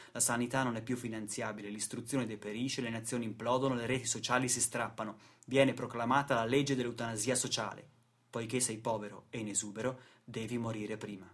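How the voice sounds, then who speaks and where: A man delivering a scripted radio theatre monologue in a solemn, rhetorical, declamatory manner. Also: medium pitch. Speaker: stage actor and narrator. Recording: music playing behind the voice. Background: music.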